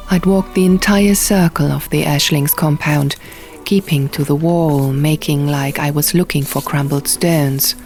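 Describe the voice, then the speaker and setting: A woman's voice: deep voice, a little mellow. A woman reading scripted first-person fantasy fiction as an audiobook narrator. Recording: music behind. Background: music.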